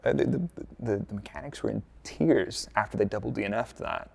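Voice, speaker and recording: A man's voice: lowish voice, expressive, brisk, gravelly, a little mellow, slightly rough. An American man from Dallas, a former racing engineer turned content creator, answering interview questions in a chatty, laid-back way with joking asides. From United States, Dallas.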